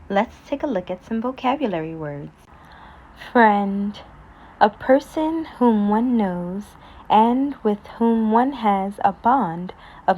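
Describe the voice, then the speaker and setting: A woman reading a children's picture book aloud, deliberately and with expressive emphasis. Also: fairly high pitch. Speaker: storybook reader. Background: music.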